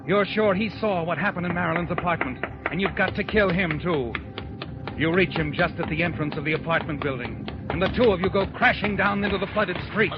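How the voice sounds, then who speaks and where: A man's voice: sits high, brisk, loud music behind the voice, close to an everyday voice. A man playing a sinister, taunting narrator in a 1940s radio thriller, addressing the guilty one directly. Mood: taunting, urgent. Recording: muffled 1940s radio recording.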